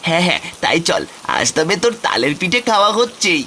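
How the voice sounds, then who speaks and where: A gentle, sensible village boy in a cartoon fairy tale. The voice is high and bright, with lively pitch movement. Faint rain is heard behind. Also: quick pace, a little hiss or hum, heavily acted. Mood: earnest.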